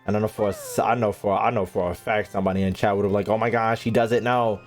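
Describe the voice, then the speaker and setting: A man's voice: on the low side, fairly even intonation, fast talker. A man streaming a game with casual, slangy reaction commentary, swearing freely and heckling in mock outrage. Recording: faint game music behind the voice.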